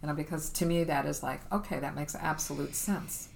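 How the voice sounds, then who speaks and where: A woman's voice: sits low, a little fast, vocal fry. A woman hosting a casual interview, often affirming and echoing what her guest says. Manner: affirming, echoes the guest's words. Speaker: host.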